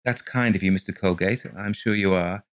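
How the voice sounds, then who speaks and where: A man's voice: sits low, a little fast, lightly acted. A man reading an audiobook, voicing an exhausted mother who speaks firmly and keeps her voice level. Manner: firm, trying to keep level. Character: exhausted, anxious young mother. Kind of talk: audiobook character voice.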